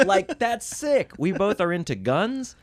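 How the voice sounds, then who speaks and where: A man's voice: high-pitched, big pitch swings, measured pace, dry recording, studio quality. A man in his late thirties chatting casually as a podcast host, in a joking, digressive and sweary way. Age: late 30s.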